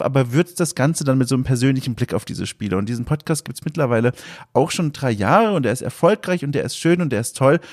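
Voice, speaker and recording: A man's voice: medium pitch, a little mellow. A man in his thirties from West Germany, a podcast host introducing his guest in a chatty, rambling way with many asides. He has a cold and sounds congested. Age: 30s. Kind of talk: casual podcast introduction and interview.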